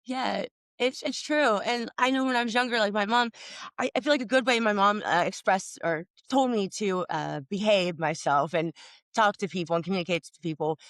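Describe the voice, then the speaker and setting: A woman's voice: mid-range voice, normal speed, fairly bright tone, dry recording. Woman hosting a podcast, mid voice, dry close mic, kinda searching for words. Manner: hesitant, lots of "like" and restarts, laughs easily. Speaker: podcast host. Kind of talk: conversational interview.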